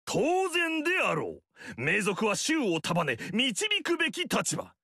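A self-important middle-aged nobleman lectures with lofty airs in a game,. His voice sits high and bright with a gravelly rasp and swings widely in pitch. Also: wildly swooping pitch, slow pace, no echo, studio quality, heavily acted. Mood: haughty. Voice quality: haughty, lecturing. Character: pompous middle-aged male noble lord, proud of lineage. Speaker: game character. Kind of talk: game story dialogue.

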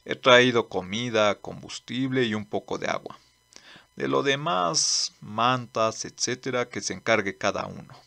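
A seasoned older man of the desert from a cartoon dub, played by a male actor. His voice is gravelly and very sharp, with lively pitch. He instructs in a firm, practical, gruff way. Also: measured pace, full character voice. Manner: firm, matter-of-fact, instructing. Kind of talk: amateur manga dub acting.

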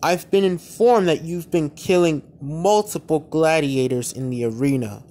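A man voicing a stern, accusing Minecraft warrior leader in a slow, grave, deliberate manner for an amateur animation fandub. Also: a little high, a little mellow, natural voice. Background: music.

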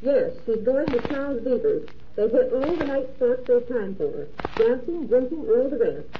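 A woman's voice: a little high, sing-song, moderate pace. A woman playing a dutiful, matter-of-fact private investigator in a 1930s radio drama. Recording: dull, faintly noisy 1930s radio recording.

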